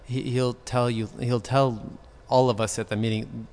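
Joking man, medium pitch, noisy recording. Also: level tone, measured pace, a little mellow. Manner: joking, banter-prone. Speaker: podcast guest, design business partner. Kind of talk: casual conversational interview answers. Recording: clear background noise, room ambience.

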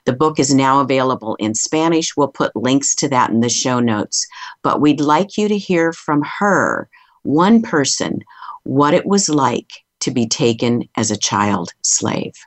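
A show co-host calmly introducing a guest in a measured way. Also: medium pitch, no echo. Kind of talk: scripted-sounding introduction.

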